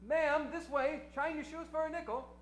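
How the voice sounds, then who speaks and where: Man playing high-pitched, eager shoeshine boy. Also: fairly even intonation, normal speed, a little hiss or hum. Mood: chirpy. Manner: folksy, exclamatory, gee-whiz. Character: eager, plucky shoeshine boy in old Chicago. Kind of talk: improvised old-time radio acting. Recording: roomy, loud music behind.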